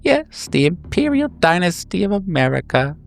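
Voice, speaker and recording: A man plays a frail, eerie woman in a tabletop horror game. The voice is lifted well above a natural male register, halting yet insistent. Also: sits high, very animated, vocal fry, pitched above the performer's own voice, exaggerated voice. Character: frail shambling woman, grotesquely labored breathing, eerie.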